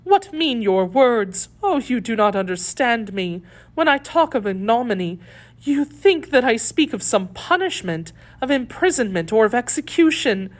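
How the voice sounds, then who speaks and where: A woman's voice: on the high side, very animated, normal speed, pitched above the performer's own voice. A woman playing a captive noblewoman who implores with feigned pious despair in a dramatic audiobook reading. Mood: anguished. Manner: melodramatic, imploring.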